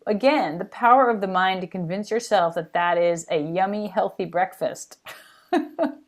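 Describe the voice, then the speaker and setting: A woman guest on a podcast, telling stories in an animated, quick way. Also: mid-range voice. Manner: animated, quick, laughs while recalling. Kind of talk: casual interview chat.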